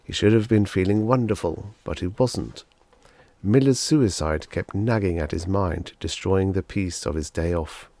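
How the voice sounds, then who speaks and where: A man's voice: low-pitched, measured pace, creaky, a little mellow, dry recording. A man narrating a short story as a scripted audiobook reading.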